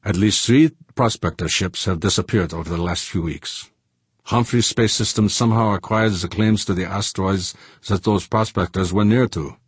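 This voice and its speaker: A man voicing a dogged businessman in an audiobook, formal and deliberate, insistently pressing accusations. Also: deep voice, slightly rough, no room sound. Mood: accusing.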